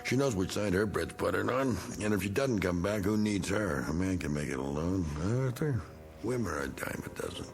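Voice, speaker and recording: An actor playing a self-pitying, bigoted middle-aged war veteran who drinks, rambling in folksy slang in a 1960s television drama. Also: male voice, low voice, expressive, brisk, vocal fry. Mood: defensive, bitter.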